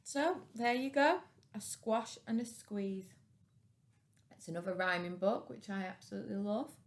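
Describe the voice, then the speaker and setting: A woman's voice: medium pitch, measured pace. A woman hosting a children's story time, reading a rhyming picture book aloud expressively.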